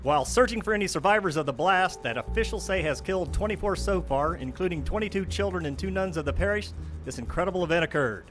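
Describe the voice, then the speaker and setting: Fast, high-pitched TV newsreader. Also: male voice, expressive, music in the background. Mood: formal, urgent. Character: male TV news anchor reporting a disaster. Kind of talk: broadcast news delivery in audio drama.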